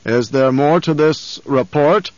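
A man voicing a menacing, scheming older male baron who talks in slow, rumbling commands. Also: a little mellow, dry recording, close to an everyday voice. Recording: dull, dry studio recording.

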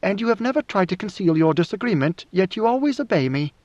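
Man voicing a commanding woman rebel leader, higher pitched. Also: very animated, darkish tone. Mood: firm, questioning. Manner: measured, imperious, exacting. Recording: roomy, slightly muffled recording.

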